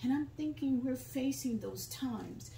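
A woman's voice: high voice, animated, unhurried, vocal fry, mellow, slight background noise. Older woman preaching in an echoey church. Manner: fervent preaching, breaks into song, quotes scripture. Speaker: guest preacher. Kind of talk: sermon. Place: small church sanctuary, standing at a pulpit. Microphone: phone camera from the pews, far off. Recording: echoey room, far from the phone mic.

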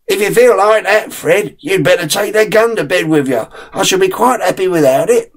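A man voicing an amused father teasing his nervous city son in a storybook, with animated delivery and a husky voice. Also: sits high, moderate pace, pitched above the performer's own voice.

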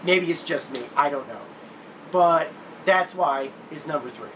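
A man's voice: on the high side, unhurried, a bit bright, poor audio. A man in his twenties giving casual countdown commentary to camera as a video game reviewer, recorded in a room at home. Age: 20s. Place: small room at home, indoors. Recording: noticeable background hiss.

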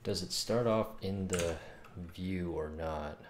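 A man's voice: deep voice, slow pace. A man in his thirties muttering casually as he thinks aloud on a coding livestream from a small room at home. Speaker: game developer streaming. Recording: roomy, faint noise, keyboard clicks.

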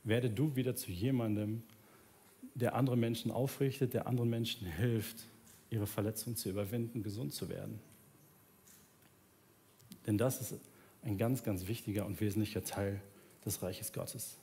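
A man in his thirties, a pastor preaching earnestly on a large church stage, dropping soft and low and then rising to plead, ending in prayer. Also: fairly even intonation, moderate pace, long pauses. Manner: earnest, swings between soft low passages and raised pleading. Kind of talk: sermon and closing prayer. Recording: church sermon with music playing underneath. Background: soft instrumental music.

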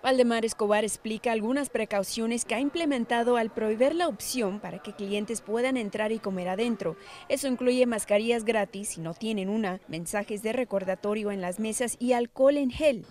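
A woman reporter with a fairly high voice narrates a scripted TV news package. She sounds like a field correspondent in her thirties. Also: moderate pace, hardly any pauses, darkish tone.

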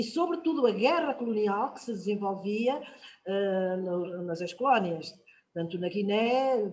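A woman's voice: mid-range voice, darkish tone, low-quality recording. Older woman with a Portuguese accent, reflective. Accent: European Portuguese. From São Tomé and Príncipe, raised in Portugal. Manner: reflective, measured, with drawn-out "ah" fillers. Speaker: guest writer. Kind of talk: panel talk, personal account. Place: home room. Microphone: video call.

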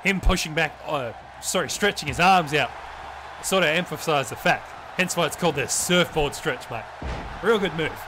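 A man doing excited, profane play-by-play commentary, often throwing in "mate". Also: a little high, moderate pace. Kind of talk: wrestling commentary on a video game match. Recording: noisy background.

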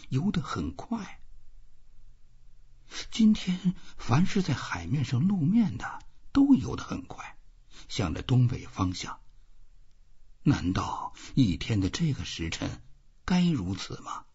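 Male narrator as lonely old fisherman for audiobook: murmured self-talk, breathy and husky, slow, widely varying intonation. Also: medium pitch, big pitch swings, darkish tone, muffled recording, voice pushed up, heavily acted. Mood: pondering. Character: weathered old fisherman, lonely, talking to himself.

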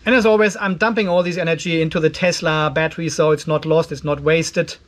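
A man's voice: fairly high pitch, steady tone, fairly quick. A man in his fifties giving a casual hands-on tech demonstration, conversational and thinking aloud as he works. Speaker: host of an off-grid solar video channel. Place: indoor garage workshop.

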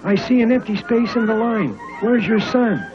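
A man narrator voicing a stern, impatient cartoon race starter, loud and insistent. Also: sits high, normal speed, muffled recording, music in the background, voice pushed up, natural voice.

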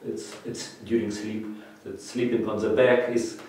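A middle-aged man speaking English as his third language, answering questions with frequent fillers and restarts. His voice sits a little low. He sounds distant from the mic in a strongly echoing room. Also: level tone, darkish tone, slight background noise. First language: not English; English his third language. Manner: halting, many fillers and restarts, gestures while talking. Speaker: interviewee, breathing method teacher. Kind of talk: unscripted interview answers. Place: bright office room, indoors.

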